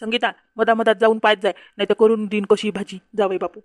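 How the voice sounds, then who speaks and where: A woman voicing a grumbling, sharp-tongued village mother-in-law in a comedy cartoon, with a Varhadi Marathi accent. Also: medium pitch, flat delivery, fast talker, dry recording, natural voice. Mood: gossipy, resentful. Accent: Varhadi Marathi from Vidarbha. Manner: griping, gossipy, insulting. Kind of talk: comedy cartoon dialogue in rural dialect.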